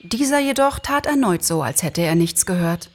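A woman narrating a story as an expressive scripted reading. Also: on the low side, normal speed, a little hiss or hum.

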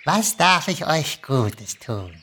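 A woman's voice: low voice, big pitch swings, slow pace, fairly bright tone, breathy edge, voice pushed down, exaggerated voice. A woman voicing a sly, spiteful male kobold in a storybook reading, hissing, scolding and dripping with false sweetness. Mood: sickly sweet.

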